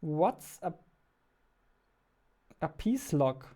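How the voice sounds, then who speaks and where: A man's voice: fairly high pitch, sing-song, drawn-out words, warm tone. A man in his twenties streaming as a game developer, chatting informally and playfully into a close studio mic. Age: 20s. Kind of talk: casual livestream chat. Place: small indoor room with dark backdrop. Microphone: close studio mic on boom arm.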